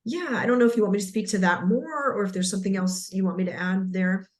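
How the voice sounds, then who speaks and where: An American speaker explaining things as an interview guest, measured and searching for words. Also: medium pitch. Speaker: interview guest, cooperative co-founder.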